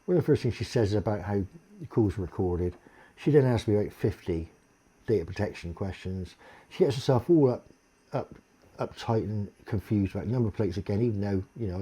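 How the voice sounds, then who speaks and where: A middle-aged Englishman with a deep, low voice airs a long grievance straight to camera. His tone is dark and a little husky, and he swears freely in a blunt, exasperated way. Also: lively intonation, brisk. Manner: aggrieved ranting complaint, blunt and sweary. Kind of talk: casual monologue to camera.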